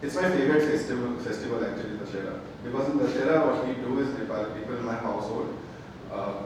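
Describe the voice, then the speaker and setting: A young man from West Bengal tells a casual story, leaning on "like" as he goes. He stands well back from the microphone. The room has a heavy echo and steady noise behind him. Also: medium pitch, fast talker. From West Bengal, India. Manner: informal, uses "like" as a filler often. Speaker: audience member. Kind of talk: casual spoken anecdote. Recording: echoey room, distant from the mic, audible noise.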